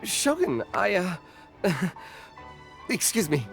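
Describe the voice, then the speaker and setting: An actor playing a nervous but earnest young food stall vendor in a video game, polite and hesitant while explaining. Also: male voice, high-pitched, sing-song, very slow, creaky, a bit bright, soft background music, heavily acted. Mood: nervous, apologetic.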